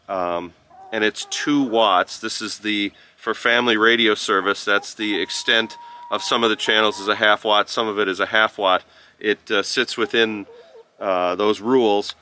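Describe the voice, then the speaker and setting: A man giving a casual, unscripted product review, explaining step by step in a halting, informal way. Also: fairly low pitch, bright, forceful tone. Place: outdoors on a rural farm. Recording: noisy outdoor farm ambience with chickens. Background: clucking chickens, outdoor ambience.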